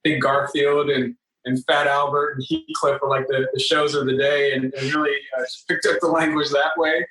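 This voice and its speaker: A man's voice: mid-range voice, flat delivery, normal speed, hardly any pauses, a bit bright, recorded from a distance. An earnest man in his forties, born in the Philippines, talks as a podcast guest and restarts phrases with repeated words. His voice stays level, with little rise and fall. He sounds set back from the mic in a roomy space. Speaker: podcast guest, real estate investor. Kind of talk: conversational interview.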